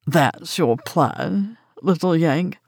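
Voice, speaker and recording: A woman voicing an old, drunk British woman with a low, gravelled, slurred and ferocious voice who drawls slowly and with contempt. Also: expressive, darkish tone, no echo, studio quality, heavily acted. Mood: scornful.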